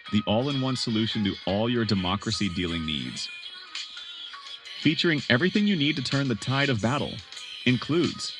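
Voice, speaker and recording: A man playing a salesy, mock-sincere pitchman in a scripted parody military propaganda advert. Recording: music behind the voice.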